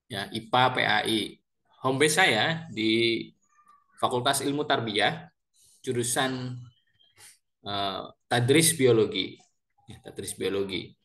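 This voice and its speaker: A man's voice: mid-range voice, slow pace, long pauses, bright, forceful tone. A man in his thirties, a university lecturer with a Banyumasan ngapak Javanese accent, introducing himself to his class in a chatty way with many fillers and repeated words. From Central Java, Indonesia. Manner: lecturer addressing students politely.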